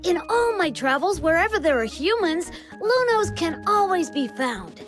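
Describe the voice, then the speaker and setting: Small chatty creature from a game, high bouncy voice, music going behind it. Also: female voice, very animated, moderate pace. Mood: chatty, knowing. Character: cheerful well-traveled small Luno creature. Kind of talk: video game character acting.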